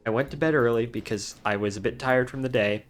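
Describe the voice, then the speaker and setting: A young male streamer telling a story loosely, with restarts, at an everyday middle pitch. He speaks close to a desk mic. Faint music plays under his voice. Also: quick pace. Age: young adult. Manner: rambling, with restarts. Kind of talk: casual chat while gaming.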